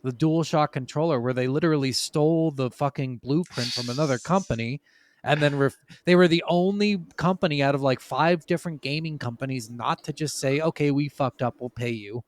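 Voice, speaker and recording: Clear, smooth-voiced man, casual podcaster. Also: on the high side, fairly quick. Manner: informal, profane, opinionated. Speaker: podcast host. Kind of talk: casual gaming podcast chat.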